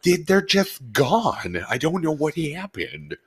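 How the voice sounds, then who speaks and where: A man's voice: on the high side, very animated, slow, a little hiss or hum. A man co-hosting a podcast in casual banter, jumping in with jokes. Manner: joking, interjecting. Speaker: podcast co-host.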